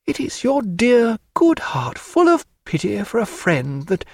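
Man doing a proud young woman, high raised voice, husky, very lively melody, theatrical audiobook reading. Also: a little slow, no echo, studio quality, exaggerated voice. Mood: tender. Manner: fiery, theatrical, shifting from bitter to tender. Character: spirited, proud young woman in a kept life.

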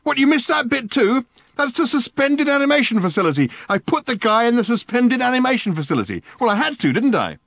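Man doing a frantic, shrill ranting alien. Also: sing-song, a little fast, gravelly, dry recording, heavily acted. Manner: ranting, shouting, high-strung. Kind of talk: audiobook character voice.

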